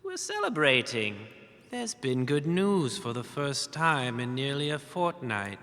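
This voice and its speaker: A man's voice: medium pitch, sing-song, measured pace, fairly bright tone. An actor playing an imperious, scheming nobleman with formal, mock-courteous speech. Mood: smug, commanding. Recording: long hall reverb.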